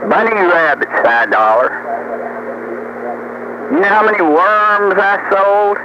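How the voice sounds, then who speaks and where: A man's voice: sits high, slow pace. Older man boasting over noisy CB radio. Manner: boastful, folksy, repeats rhetorical questions. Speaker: storyteller on CB radio. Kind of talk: rambling casual CB radio chat. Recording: noisy muffled CB radio tape.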